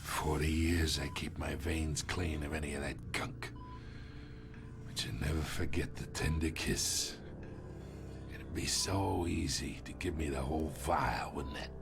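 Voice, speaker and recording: An actor playing an older crime lord, sly and menacing, weakened by pain, in a game with a gravelly, needling delivery. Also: male voice, extremely low pitch, fairly even intonation, heavily acted. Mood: pained, coaxing. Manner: slow, mock-familiar, needling. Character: ailing old male crime lord, sly, taunting, menacing. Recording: music playing behind the voice.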